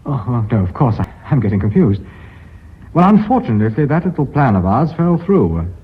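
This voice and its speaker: A thin, tinny old radio recording of a British board chairman. His husky voice is clipped and courteous, with expressive, moving intonation. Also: mid-range voice, brisk. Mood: uneasy, guarded. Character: brisk, formal company chairman running a board meeting. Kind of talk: British radio drama acting.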